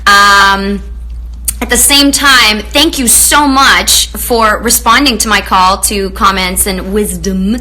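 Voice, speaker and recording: A woman's voice: medium pitch, slow pace, low-quality recording. A woman from Romania hosting a live online show, chatting with viewers in an effusive way with lots of fillers. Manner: effusive, greets viewers warmly, many fillers. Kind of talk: live-stream chat with viewers.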